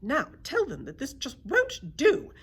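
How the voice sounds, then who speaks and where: A woman's voice: high-pitched, wildly swooping pitch, unhurried, fairly bright tone, a little hiss or hum, pitched above the performer's own voice, heavily acted. A woman voicing a haughty, bossy mayor's wife in a children's storybook, commanding and insistent. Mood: bossy, demanding. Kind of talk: children's storybook read-aloud.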